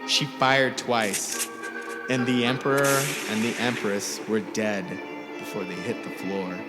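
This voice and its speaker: A man reading a short story aloud in a deliberate, theatrical style, drawing out some words. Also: lowish voice, fairly even intonation. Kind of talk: dramatic scripted story narration. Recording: music score under the voice. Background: music score.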